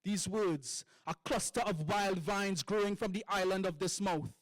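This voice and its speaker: A man's voice: high voice, unhurried, no room sound. A young man with a Trinidadian accent performing a spoken word poem with rhythmic, emphatic delivery. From Trinidad and Tobago. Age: young adult. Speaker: poet.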